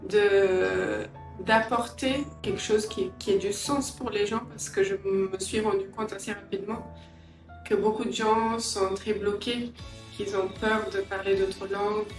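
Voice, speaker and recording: A middle-aged woman from Angola and England giving a personal interview, reflective and hesitant, often pausing mid-sentence. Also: medium pitch, steady tone. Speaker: interviewee, entrepreneur and language teacher. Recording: roomy echo, distant mic, faint music.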